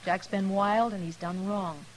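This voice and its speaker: A woman's voice: mid-range voice, fairly even intonation, measured pace, natural voice, rough recording. An actress playing an earnest, devoted young frontier woman in an old radio western, pleading her case. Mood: earnest, insistent. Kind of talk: old-time radio western drama acting. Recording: hissy vintage radio recording.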